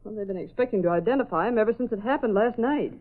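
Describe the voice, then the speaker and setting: An actress playing an efficient, skeptical newspaper secretary with a crisp manner in an old radio drama. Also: female voice, fairly high pitch, racing, close to an everyday voice. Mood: businesslike. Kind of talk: 1930s-40s radio acting. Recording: tinny phone-like old radio recording.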